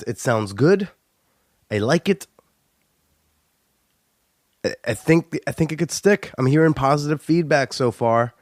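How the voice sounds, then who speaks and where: A man's voice: fairly high pitch, no echo, clean studio sound. A young man, stuffy from a cold, hosting a casual, joking podcast intro with plenty of "uh"s. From United States. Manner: joking and chatty, with frequent filler "uh". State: stuffy nose. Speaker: podcast host.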